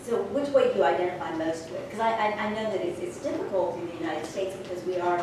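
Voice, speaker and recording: A woman's voice: lowish voice, fast talker. A woman from Spain moderates a panel, stumbling and restarting her questions as she asks them. She sits far from the microphone. The room has heavy echo, with a layer of background noise. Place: large echoey lecture room. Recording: echoey room, distant mic, background noise.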